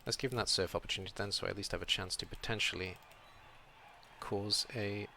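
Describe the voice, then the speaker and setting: A young British man from Wales with a slight European tilt to his accent, chatting casually while he streams a game, reading chat and thinking out loud. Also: lowish voice, steady tone, a little fast, creaky, slight background noise. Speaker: streamer playing a video game. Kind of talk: casual live-stream commentary.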